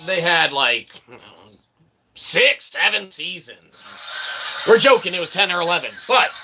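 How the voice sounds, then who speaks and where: Male comedic host, piercingly bright and tense, theatrical tongue-in-cheek monologue, some background noise. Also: sits high, big pitch swings, a little slow. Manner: theatrical mock showman, tongue-in-cheek asides. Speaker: host of a comic philosophy show.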